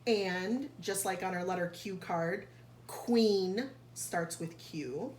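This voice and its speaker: A woman reading a picture book aloud to young children like a teacher, pausing to explain and carefully sounding out key words. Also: lowish voice, darkish tone. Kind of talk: read-aloud storytelling with explanatory asides. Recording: echoey room, faint background noise.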